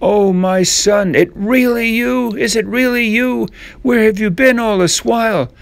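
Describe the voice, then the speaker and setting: A man voicing a very old, tender village mother in a storybook, with plaintive, drawn-out delivery. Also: high-pitched, gravelly, no echo, pitched above the performer's own voice. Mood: amazed, tender.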